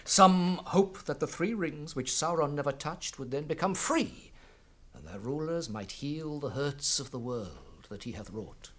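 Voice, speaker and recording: An actor with a British accent playing a solemn, wise ancient elf lord in a radio fantasy drama, speaking in formal, weighty oratory. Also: male voice, on the high side, lively intonation, measured pace. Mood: stern, sorrowful.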